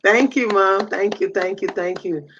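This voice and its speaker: A middle-aged woman from Nigeria hosting a live stream, animated, exclaiming and repeating her greetings. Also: mid-range voice, unhurried, lo-fi sound, a little hiss or hum. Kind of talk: lively live-stream talk.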